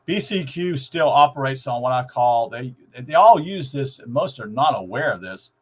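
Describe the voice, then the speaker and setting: A man giving an unscripted, informal explanation in a halting, rambling way, often searching for words. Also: medium pitch, animated. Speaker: video host explaining shortwave radio. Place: at home.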